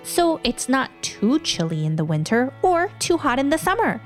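A woman hosting a children's show, reading scripted narration in a clear, explanatory way. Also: high-pitched, very animated, normal speed, faint music behind.

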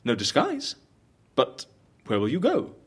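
An actor playing a suave, businesslike and wry agency fixer in an audio drama, articulate and persuasive with a theatrical way of talking. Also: male voice, mid-range voice, wildly swooping pitch, a little slow, breathy edge, exaggerated voice. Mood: puzzled, helpful.

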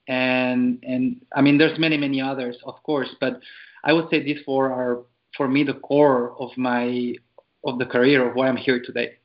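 Male guest, mid pitch, thoughtful and halting, muffled call audio. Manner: thoughtful, hesitant, with repeated words. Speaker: guest, network marketer. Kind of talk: casual interview chat.

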